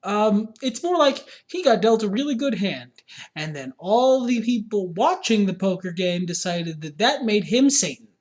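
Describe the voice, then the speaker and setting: A man's voice: high-pitched, expressive, normal speed. A man co-hosting a podcast in casual chat, wry and profane, arguing his points. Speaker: podcast co-host.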